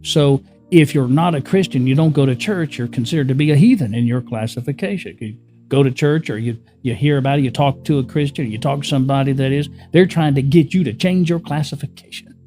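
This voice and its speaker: A man's voice: medium pitch, fairly quick, warm tone, faint music behind. A man giving a casual spiritual teaching, often repeating himself, chuckling and asking the listener rhetorical questions. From born in the US Northeast, raised in California. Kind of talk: unscripted spiritual teaching to camera.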